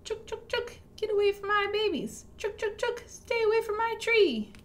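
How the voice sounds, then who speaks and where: A woman's voice: extremely high pitch, lively intonation, normal speed, fairly bright tone, voice pushed up. A woman voicing a tiny, fierce mother squirrel who chitters and scolds to protect her babies. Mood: fierce scolding. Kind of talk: children's picture-book read-aloud.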